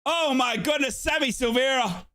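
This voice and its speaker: A man hosting a live fan watchalong, calling a football match in excitable play-by-play and chanting. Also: extremely high pitch, moderate pace. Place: home office.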